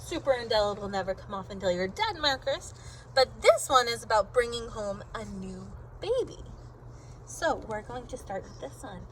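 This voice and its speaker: A woman reading a picture book aloud to children in a very animated way, drawing out words and sound effects and pausing to ask her listeners questions. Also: on the high side, slight background noise, poor audio.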